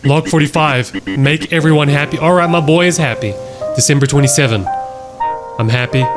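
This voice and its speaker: A man's voice: on the high side, a little fast. Man reacting casually over music. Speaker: host of horror reaction videos. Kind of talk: reading on-screen text aloud with casual reaction commentary. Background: music behind the voice.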